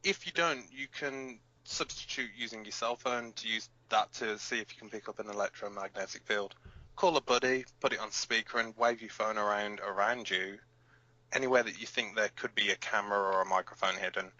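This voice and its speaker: A man's voice: fairly low pitch, fairly quick, bright, forceful tone, dry recording, lo-fi sound. A man playing a hot-headed, protective resistance fighter who hosts a rebel radio broadcast in an audio drama, blunt, emphatic and given to swearing. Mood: instructive.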